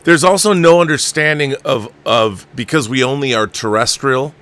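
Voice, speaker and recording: A man's voice: medium pitch, normal speed, a bit bright, smooth, no room sound. Man, talk show host, opinionated, sardonic, emphatic, casual fan chat, mimics others. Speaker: talk show host reading viewer messages.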